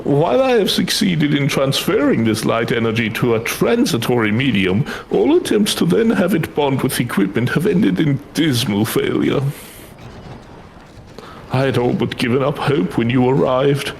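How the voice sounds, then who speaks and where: A man voicing an excitable, easily frustrated scholar in a fantasy game, with flustered and theatrical formal speech. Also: medium pitch, moderate pace, vocal fry, a little hiss or hum, poor audio. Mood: earnest. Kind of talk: fan read-aloud of fantasy game dialogue.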